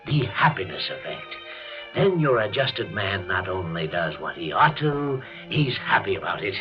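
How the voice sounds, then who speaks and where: A dull old radio recording with faint music carries an elderly, zealous doctor. He is theatrical and excitable, self-important, and his pitch leaps and drops dramatically as in a 1950s science fiction play. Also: exaggerated voice. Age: older. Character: aging, zealous mad doctor, gleeful and self-important, easily panicked.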